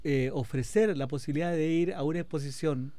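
A man hosting an interview, speaking in a reflective, measured way. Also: fairly high pitch, mellow, dry recording. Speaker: interview host. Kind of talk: conversational interview.

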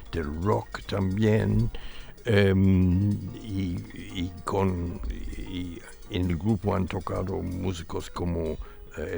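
A middle-aged man from England speaks slowly in a low voice as a musician being interviewed. His delivery is halting, searching for words, with a gravelly edge. Music plays faintly behind him. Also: dark tone. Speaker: guest musician. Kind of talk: casual radio interview.

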